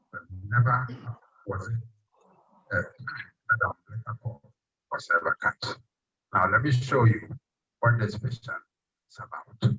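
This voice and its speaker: A man from Ghana with a Ghanaian accent, giving a measured public presentation as a project chairman. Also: medium pitch, fairly bright tone, dull audio.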